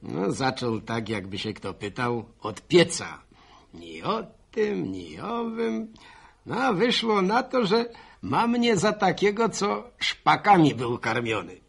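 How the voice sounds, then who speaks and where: A man's voice: on the high side, big pitch swings, slow pace, heavily acted. An actor playing a shrewd, folksy countryman, telling things wryly in a vintage radio serial. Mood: wry, musing. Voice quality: creaky, husky. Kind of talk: 1960s radio serial acting. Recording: vintage 1960 radio recording.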